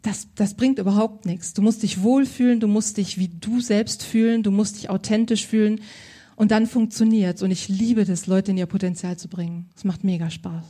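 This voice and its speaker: A woman's voice: medium pitch, steady tone, moderate pace, dark tone. A woman working as a style consultant, answering interview questions in an enthusiastic, colloquial way.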